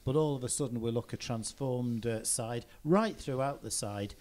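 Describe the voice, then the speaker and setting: A man in a mid-range voice puts questions to a footballer after the match. He recaps the play at length and pauses on small fillers. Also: mid-range voice, a little mellow. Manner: summarising play, hesitant fillers. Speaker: club TV interviewer. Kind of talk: post-match interview questions.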